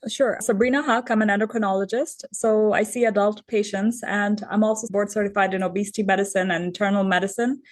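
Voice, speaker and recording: Measured woman physician from Bangladesh. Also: mid-range voice, steady tone. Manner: measured, methodical explaining. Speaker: endocrinologist guest. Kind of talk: explanatory interview answers.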